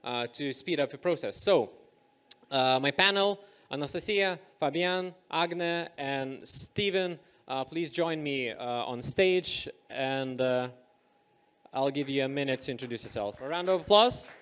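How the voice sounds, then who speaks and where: A man moderating a live panel discussion on stage, upbeat and energetic, with many filler sounds. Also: medium pitch, a little slow, smooth. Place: event stage.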